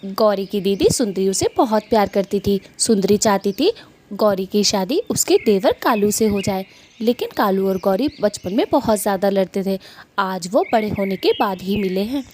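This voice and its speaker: A woman's voice: medium pitch, lively intonation, normal speed, darkish tone, a little hiss or hum. A woman telling a scripted cartoon story as its narrator.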